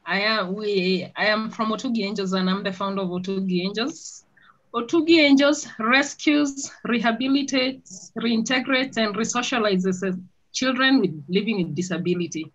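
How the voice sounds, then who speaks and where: A woman's voice: mid-range voice, normal speed. Kenyan woman on a muffled video call. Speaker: panel guest, charity founder. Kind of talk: earnest introductory talk.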